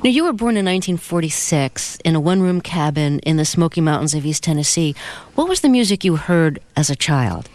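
A woman interviewing a guest, with a lower voice than most women. She asks calm, measured questions in a dry, close studio sound. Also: no echo.

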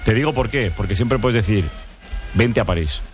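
A man hosting a joking group radio chat, firing quick teasing questions at a guest and slipping into put-on voices. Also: on the low side, faint music behind. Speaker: radio sports show host.

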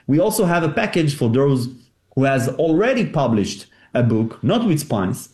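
A man with an Israeli accent speaks in a mellow, darker tone, his voice carrying a roomy echo. He explains things earnestly, often restarting and repeating a word before going on. It sounds like a remote guest in an interview. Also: mid-range voice, sing-song, normal speed. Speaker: company CEO guest. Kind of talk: interview answers.

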